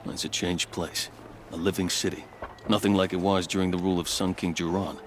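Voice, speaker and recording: A man playing a composed, devout and loyal fort officer in a video game, speaking in a measured, formal way. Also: deep voice, little pitch movement, a little hiss or hum, close to an everyday voice. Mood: earnest, reverent. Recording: music behind the dialogue. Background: music.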